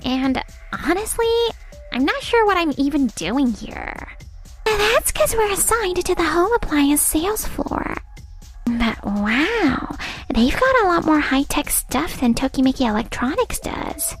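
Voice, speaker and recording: Haughty, high-pitched young woman, acted by a woman. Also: very animated, measured pace, faint music behind, exaggerated voice. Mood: casual, uneasy. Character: haughty young woman saleswoman, spoiled executive's daughter. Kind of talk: animated manga dub voice acting.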